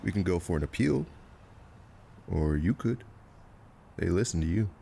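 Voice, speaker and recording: A man's voice: sits low, lively intonation, moderate pace, vocal fry, dark tone, a little hiss or hum. A man acting a guarded, restless and loyal operative with a wry manner in an audio drama. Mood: uneasy, probing.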